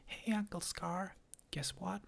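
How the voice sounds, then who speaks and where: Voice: medium pitch, steady tone, slow, vocal fry, pitched below the performer's own voice. A person whispering the lines of an eager, boastful young male lion cub with a cheeky manner.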